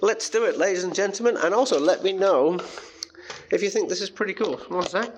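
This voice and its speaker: A man's voice: sits high, brisk, slight background noise. A man presenting a casual, unscripted hands-on tutorial in a chatty, informal and enthusiastic way. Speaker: hobbyist presenter demonstrating homemade electronics.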